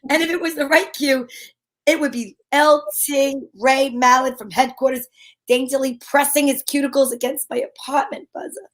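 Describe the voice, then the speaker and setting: A woman's voice: high-pitched, steady tone, moderate pace, lightly acted. A woman playing a wisecracking, confident female private eye who narrates her case in a wry old-time radio style. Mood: arch, sardonic.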